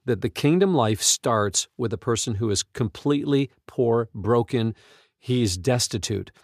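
A man hosting a devotional talk, retelling stories and quoting scripture in an earnest, emphatic, deliberate way. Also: mid-range voice, lively intonation, no room sound, clean studio sound. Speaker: podcast host and Bible teacher.

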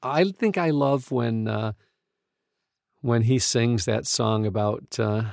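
A man hosting a casual podcast chat, enthusiastic and halting as he puts questions to his co-host. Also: mid-range voice, vocal fry, a little mellow, dry recording, clean studio sound. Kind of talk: casual co-hosted conversation.

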